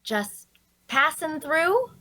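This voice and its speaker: A woman's voice: high voice, very animated, very slow, bright, forceful tone, full character voice. A woman playing a small, chatty, inquisitive young adventurer in a fantasy audio drama. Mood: inquisitive, probing.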